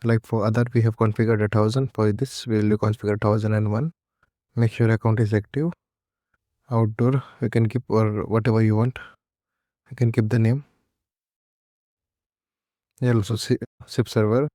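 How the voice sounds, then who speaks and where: Male tutorial presenter, mellow and even-toned, halting step-by-step software walkthrough delivery, close dry sound. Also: on the low side, no room sound. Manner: halting, repetitive step-by-step instruction. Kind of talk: unscripted software setup walkthrough.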